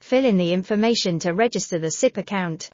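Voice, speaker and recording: A woman reading a scripted software tutorial in a measured, instructional manner. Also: medium pitch, no room sound, dull audio. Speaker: tutorial narrator.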